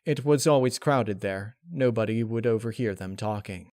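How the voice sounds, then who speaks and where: A man reading scripted dark fan fiction aloud as its narrator. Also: lowish voice, normal speed, dry recording, studio quality.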